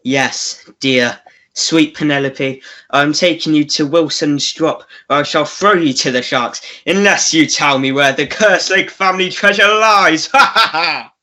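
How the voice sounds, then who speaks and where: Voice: medium pitch, sharp tone. An actor playing a gloating, scheming male villain in a theatrical radio melodrama. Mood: menacing, gloating. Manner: slow, gloating, theatrical delivery. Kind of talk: old-fashioned radio melodrama acting.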